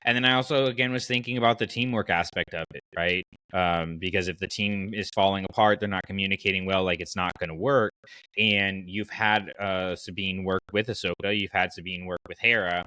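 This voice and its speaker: A man with a fairly low but bright, forward voice, recorded close and dry. He hosts a casual fan podcast and explains his thinking conversationally, wandering into asides. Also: fairly even intonation, fairly quick, no room sound, poor audio. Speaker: podcast host.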